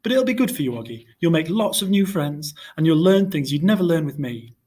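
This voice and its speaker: A man voicing a caring, gently persuasive mother in a storybook. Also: fairly high pitch, animated, a little fast, pitched above the performer's own voice. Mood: encouraging.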